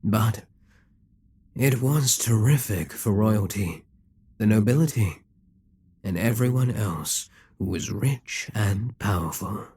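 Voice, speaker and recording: A man playing a husky, slow-talking demon king. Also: fairly low pitch, dry recording, clean studio sound, heavily acted. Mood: persuasive. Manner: slow, measured, persuasive, with quiet whispered asides. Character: immortal male demon king, scheming and possessive. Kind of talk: audio roleplay.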